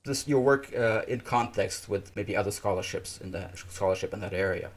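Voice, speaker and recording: A man in his fifties, a native Russian speaker, hosting a podcast interview and talking in a halting way with many fillers and restarts. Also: fairly low pitch. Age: 50s. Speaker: podcast host. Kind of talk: casual interview.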